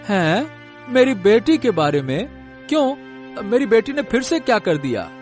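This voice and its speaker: Man acting a high-pitched, deferential village underling. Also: big pitch swings, measured pace, faint music behind. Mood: nervous, pleading. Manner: deferential, hesitant, rustic. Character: timid, deferential village men: henchman and anxious farmer father. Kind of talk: Hindi cartoon story acting.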